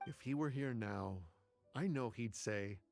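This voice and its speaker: An actor playing a devoted, stubborn young scholar in a video game, earnest and emotional. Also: male voice, mid-range voice, a little slow, vocal fry, a little mellow, soft background music. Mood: grieving, reflective. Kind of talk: dramatic video game voice acting.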